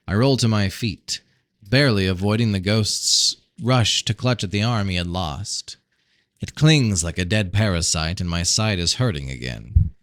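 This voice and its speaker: A man reading a fantasy novel as scripted narration, with dramatic, measured delivery. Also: fairly low pitch, no room sound, clean studio sound. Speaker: audiobook reader.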